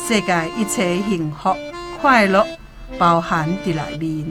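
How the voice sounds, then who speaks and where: A woman's voice: on the low side, measured pace, music in the background, lightly acted. A woman playing a sly old roadside guide who coaxes and persuades, in a Taiwanese radio drama. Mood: enticing. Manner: coaxing, persuasive.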